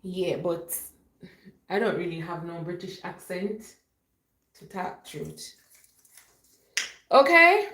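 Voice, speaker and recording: A woman's voice: lowish voice, fairly even intonation, slow, pauses often, darkish tone. A woman chatting casually and playfully to her livestream viewers, rambling from one thing to the next. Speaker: livestream host. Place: indoor room at home. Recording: roomy, echoey home livestream.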